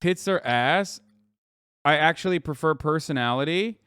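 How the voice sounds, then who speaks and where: A man live streaming, reacting to tweets and lecturing his chat in a profane, animated way full of rhetorical "okay?" asides. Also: on the high side, moderate pace, loud music behind the voice.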